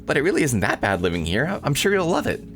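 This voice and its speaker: A man's voice: medium pitch, wildly swooping pitch, fast, fairly bright tone, soft background music, pitched above the performer's own voice. A man voicing a perky, earnest young anime girl in a visual novel, in an eager, gushing manner. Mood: reassuring. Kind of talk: visual novel character dialogue.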